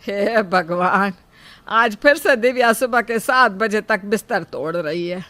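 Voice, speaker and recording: A woman's voice: mid-range voice, expressive, a little slow, fairly bright tone, dry recording. A woman playing a complaining, scheming elderly Indian mother-in-law in a cartoon story, with dramatic delivery. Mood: exasperated. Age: older. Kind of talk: acted cartoon story dialogue.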